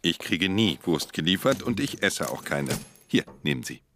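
A harried official in a radio play. His voice is fairly low, brusque and exasperated, quick to get defensive, with faint noise behind. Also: big pitch swings, fairly bright tone, heavily acted. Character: harried, irritable male government office clerk. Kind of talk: German radio play acting.

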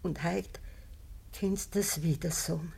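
Husky, frail old Bavarian mother. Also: fairly low pitch, dark tone, exaggerated voice. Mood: pleading, tender. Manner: slow, halting, pleading. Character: gravely ill old peasant mother, pleading, gentle, pious. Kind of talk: naturalistic dialect radio drama acting.

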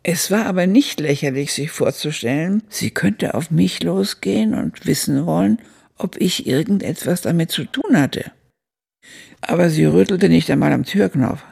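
An actress playing an elderly woman who recalls her youth, narrating a radio drama in a measured, reflective way with a gravelly, husky voice. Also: on the low side, warm tone, full character voice. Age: older.

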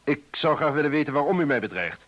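Irritable, husky threatened man. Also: on the high side, animated, fast. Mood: wary. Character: irritable, skeptical male client under threat. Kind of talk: radio play acting. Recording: dull lo-fi old radio sound.

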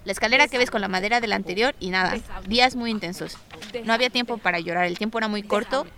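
A woman's voice: medium pitch, fast talker, bright, forceful tone. A woman giving conversational first-hand testimony as a resident recounting events.

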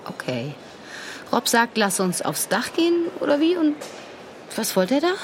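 A woman's voice: fairly high pitch, big pitch swings, normal speed, slight background noise. A persistent, sceptical woman questioner. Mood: probing. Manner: probing, insistent questioning. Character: persistent, sceptical adult female investigator questioning a boy. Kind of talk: naturalistic German radio drama acting.

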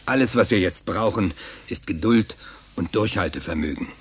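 A level-headed ringleader in a sci-fi radio play. His voice is fairly low and husky, with a pragmatic, dismissive way of arguing. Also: moderate pace. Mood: reasoning. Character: level-headed male leader of secret escape plotters. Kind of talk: science fiction radio play acting.

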